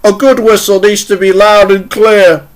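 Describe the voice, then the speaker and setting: A man's voice: sits high, level tone, normal speed, a little hiss or hum, pitched above the performer's own voice, lightly acted. A man voicing a boastful, mocking big male steam engine that talks grandly and scornfully in a storybook reading. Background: loud background music.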